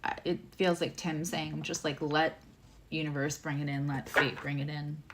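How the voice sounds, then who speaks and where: A woman tarot reader and astrologer with a low voice, giving a livestream reading, chatty and emotional, addressing viewers by name. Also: level tone, moderate pace, background noise.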